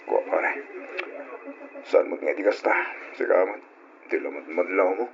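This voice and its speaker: A man's voice: sits low, unhurried. An actor with an Ilocano accent playing a guilty, evasive married man who hesitates and stammers in an old radio drama. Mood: sheepish. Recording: lo-fi radio drama, faint noise.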